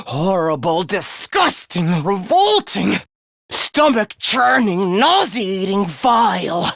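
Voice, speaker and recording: A man's voice: vocal fry, sharp tone, no room sound, clean studio sound, full character voice. A man voicing a sadistic young male video game villain, mock-polite and theatrical in his contempt. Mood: seething disgust. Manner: mock-polite, theatrical, swinging into shrill ranting. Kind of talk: anime-style video game voice acting.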